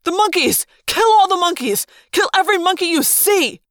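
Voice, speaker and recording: A woman voicing a frantic, cruel male villain who yells manic orders in an audiobook. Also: extremely high pitch, lively intonation, normal speed, dry recording, studio quality, voice pushed up. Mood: furious, manic. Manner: yelling, giddy and manic.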